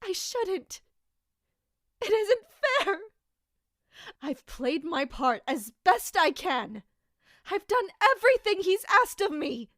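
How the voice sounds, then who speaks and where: A woman's voice: very high voice, very animated, slow pace, pauses often, a bit bright, no room sound, studio quality, heavily acted. A woman playing an anguished, dutiful and bitter royal captain in an audio drama, brooding and emotionally intense. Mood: desperate.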